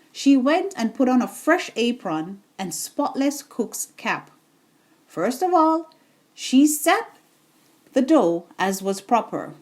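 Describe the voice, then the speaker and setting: Slow, lively woman reading a children's story. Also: high-pitched. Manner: deliberate, storytelling delivery for young children. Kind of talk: expressive bedtime story narration.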